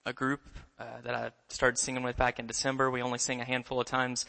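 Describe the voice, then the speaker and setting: A young man preaching from the pulpit, his voice gravelly and bright, easygoing and joking with the congregation. The church's long reverb trails after every phrase. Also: mid-range voice, monotone, quick pace. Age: 20s. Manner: conversational, self-deprecating humor. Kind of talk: sermon. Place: church sanctuary.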